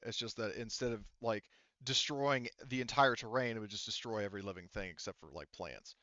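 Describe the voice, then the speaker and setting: A man speaking casually as a game master, explaining things in a rambling, halting way with some swearing. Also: on the low side, sharp tone, no echo, muffled recording. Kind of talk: casual improvised explanation of tabletop game rules and lore.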